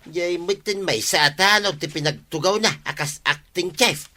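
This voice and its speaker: A man's voice: high voice, normal speed, sharp tone. Piercing, indignant older Ilocano man. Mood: sulking. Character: indignant, jealous older village man, self-important sidekick. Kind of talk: Ilocano radio comedy drama acting.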